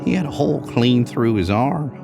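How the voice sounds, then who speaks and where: Man voicing a creaky old folksy cowboy storyteller. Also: mid-range voice, animated, moderate pace, over music. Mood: grim, reminiscing. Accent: American. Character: older rambling cowboy spinning a spooky trail tale. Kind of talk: audio horror story character reading.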